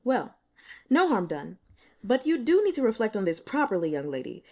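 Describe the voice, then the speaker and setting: A woman's voice: medium pitch, sing-song, moderate pace, mellow. A woman voicing a brash, cocky male boss in an audiobook, flippant and casually bossy as he teases and lectures. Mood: awkward coaxing.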